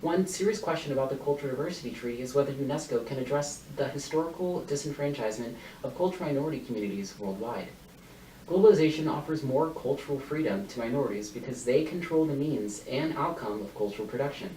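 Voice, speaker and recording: A man's voice: mid-range voice, lively intonation, fast talker, warm tone. A young adult man delivering a formal, argumentative competitive debate speech, reading prepared points and quoted evidence. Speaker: university debater. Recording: roomy, distant mic, faint noise.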